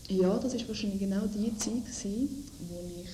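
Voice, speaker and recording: A woman's voice: medium pitch, brisk, mellow. A young woman with a Swiss German accent, answering interview questions in a hesitant, thoughtful way with many fillers. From Switzerland. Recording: echoey room with background noise.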